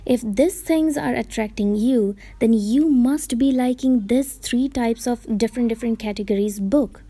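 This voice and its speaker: A woman's voice: a little high, normal speed, warm tone, breathy edge. A woman from India with an Indian English accent, whose first language is Gujarati, giving earnest advice in a casual vlog and correcting herself as she goes. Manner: earnest, explanatory, with self-corrections. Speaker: vlogger giving reading advice. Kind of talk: casual talking-to-camera advice.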